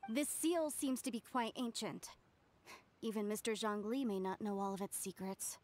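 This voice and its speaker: An actress playing an earnest young researcher of ancient ruins in a video game, explaining things. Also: female voice, mid-range voice, moderate pace, darkish tone, slight background noise, natural voice. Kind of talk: English video game voice acting.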